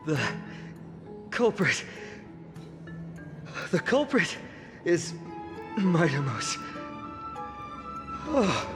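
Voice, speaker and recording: An actor voicing a dazed young victim in an anime-style game, faltering and drawing out the words. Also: male voice, high voice, sing-song, heavily acted. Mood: weak, dazed. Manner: faltering, drawn-out. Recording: background game music.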